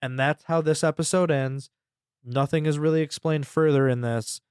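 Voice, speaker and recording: A man's voice: a little high, steady tone, normal speed, a little mellow, clear, resonant tone, no echo, studio quality. A man co-hosting a podcast, recapping a story in a rambling, casual way and swearing freely. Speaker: podcast co-host.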